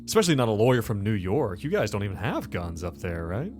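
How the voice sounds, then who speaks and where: Guy doing a cocky cold fed for a tabletop game, lowish voice, talks down to you, music playing behind. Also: sing-song. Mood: smug, condescending. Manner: unhurried, condescending. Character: smug, coldly self-assured male federal agent.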